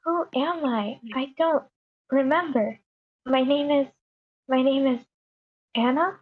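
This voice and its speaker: A woman's voice: sits high, slow pace, phone-quality sound. A woman playing a frightened, confused young woman with no memory in a screenplay table read. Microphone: remote online meeting.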